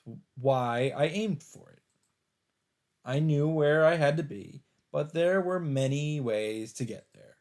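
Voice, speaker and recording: A man's voice: on the high side, slow, darkish tone. A man hosting a let's play, reading visual novel narration aloud and adding his own commentary. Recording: game music behind the voice.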